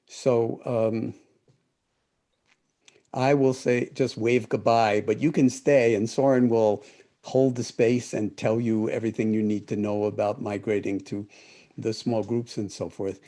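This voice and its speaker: Older man, deep and mellow, meditation teacher on a video call, reflective and meandering. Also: flat delivery, unhurried. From United States. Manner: thoughtful, rambling with self-corrections. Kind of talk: live-streamed talk to an online group.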